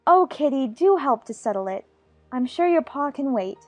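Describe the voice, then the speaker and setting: A woman's voice: high-pitched, lively intonation, moderate pace, no room sound, soft background music. A woman playing a chatty, imaginative little girl in an audiobook, fond and bossy, prattling on in playful scolding. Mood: chatty, musing. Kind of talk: dramatized audiobook character acting.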